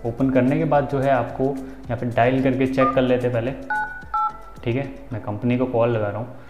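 A man's voice: mid-range voice, steady tone, normal speed. A man in his twenties with an Indian accent gives a casual, informal step-by-step phone tutorial as host, often checking that the listener follows. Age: 20s. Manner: informal, instructional, frequent check-in tags. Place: small indoor room. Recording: roomy echo, dull sound, faint music.

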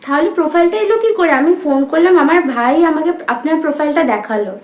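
Young female prank caller, West Bengal Bengali accent, high voice, politely persistent and persuasive, roomy echo. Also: lively intonation, fast talker. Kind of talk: staged phone prank conversation.

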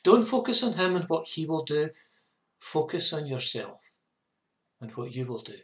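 A man in his fifties, a minister giving a measured, earnest reflective sermon to camera in a small study. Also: on the high side, very animated, fairly bright tone. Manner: measured, earnest, with short repeated phrases. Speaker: parish minister. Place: small home study, indoors.

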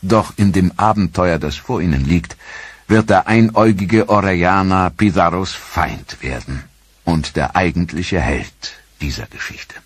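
Deep-voiced male documentary narrator. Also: a little hiss or hum. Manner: measured, even storytelling delivery.

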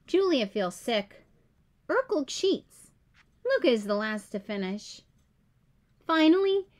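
A woman reading a children's picture book aloud as a storyteller, in clear, simple, expressive storybook delivery. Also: high voice.

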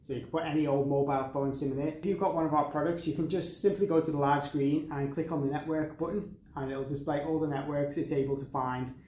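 A man's voice: on the high side, fairly even intonation, fast, hardly any pauses, a little mellow, a little hiss or hum. A man in his thirties giving an informal tech product walkthrough in a matter-of-fact, explanatory way. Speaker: product demonstrator.

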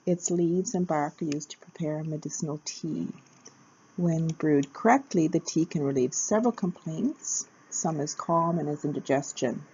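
Low-voiced woman, halting fillers. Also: warm tone, slightly rough, highs cut off. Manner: hesitant, many uh fillers, plain. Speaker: capoeira teacher. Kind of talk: casual educational narration.

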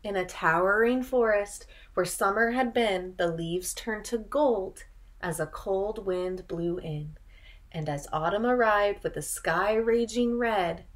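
Young woman reading slowly to children. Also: medium pitch. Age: young adult. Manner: animated storytelling, deliberate enunciation, audible gasps. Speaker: speech and language teacher reading aloud. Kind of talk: expressive children's picture-book read-aloud with questions. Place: small furnished room at home, indoors.

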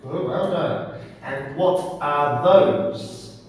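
Man, English teacher, slow simple questions, encouraging, very echoey room, distant mic. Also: a little high, darkish tone. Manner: encouraging, short praise after answers. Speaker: English teacher giving an oral test. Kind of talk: simple question-and-answer language exam. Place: small bare classroom, indoors. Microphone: far camera microphone. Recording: echoey room, distant microphone, faint noise.

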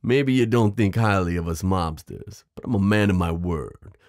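A man voicing a smooth, possessive mafia boss in an ASMR roleplay, menacing yet protective, teasing the listener with pet names. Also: low voice, moderate pace, darkish tone, no echo, studio quality. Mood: firm, condescending. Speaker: audio roleplay performer. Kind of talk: ASMR roleplay drama acting.

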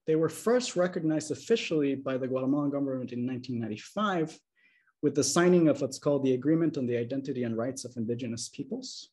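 A man in his thirties giving a measured, explanatory academic lecture over a video call, checking in with his listeners. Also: medium pitch, dark tone. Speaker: linguistics lecturer. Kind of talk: academic slide presentation. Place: small room indoors. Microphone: video call webcam.

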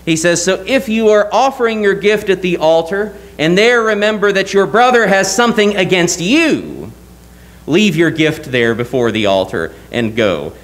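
A man's voice: high-pitched, animated, moderate pace. A young man preaching a sermon from a church pulpit, earnest and emphatic, often asking rhetorical questions. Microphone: lectern mic, wide camera.

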